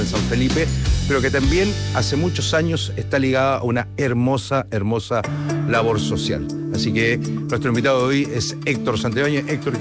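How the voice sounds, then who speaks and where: A Chilean male sports host opening and steering an interview, friendly and quick to nudge with short prompts. Music plays clearly under his voice. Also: medium pitch. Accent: Chilean Spanish. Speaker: radio and TV sports show host. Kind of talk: interview hosting. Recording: music bed behind voice.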